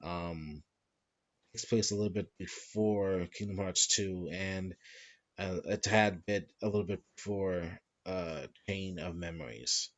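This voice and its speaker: A young man with a fairly low voice talks casually as a co-host. He rambles from point to point and sometimes bursts into loud, playful shouts. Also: fairly even intonation, unhurried, highs cut off. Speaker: podcast co-host. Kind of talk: casual chat.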